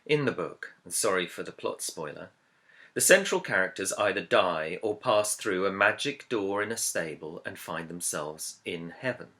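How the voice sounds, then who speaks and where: A man, an author, reading his own book introduction as scripted narration in a measured, earnest, reflective way. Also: deep voice, lively intonation, sharp tone. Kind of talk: scripted audiobook-style narration.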